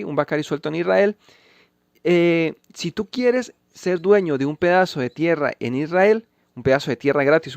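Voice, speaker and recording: A man reading Bible verses and giving scripted commentary, measured and emphatic. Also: fairly high pitch, gravelly, no echo. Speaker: channel host refuting a pastor.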